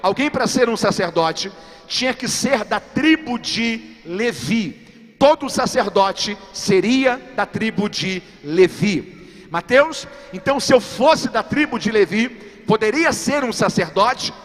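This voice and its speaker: A man with a strikingly high voice delivers a sermon, counting off his points and calling out questions to the congregation. His voice rings through a large church sanctuary with a long, trailing reverb, as heard on a live church stream. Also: fairly bright tone. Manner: preaching emphatically with repeated rhetorical questions to the congregation. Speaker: pastor.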